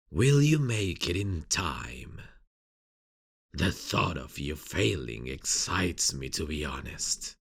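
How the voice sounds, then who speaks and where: Playful sadistic killer, low-pitched male voice, gravelly fry, mocking, drawn-out teasing, ASMR roleplay villain. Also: full character voice. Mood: gloating, teasing. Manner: mocking, teasing, drawn-out delivery with sinister chuckles. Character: sadistic, playful serial killer taunting a detective.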